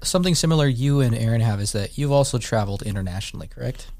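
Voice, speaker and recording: A man's voice: medium pitch, animated, racing, gravelly, a little mellow. A man hosting a casual podcast chat, throwing in wisecracks.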